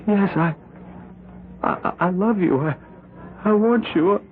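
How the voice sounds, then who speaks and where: A man's voice: high-pitched, slow pace, a little hiss or hum. A young man playing a guilty, cornered matinee idol who stammers and pleads, in an old radio drama. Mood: pleading. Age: young adult. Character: young male matinee actor, guilty wife-killer, cornered. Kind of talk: 1970s radio drama acting. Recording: tinny lo-fi old radio broadcast with music.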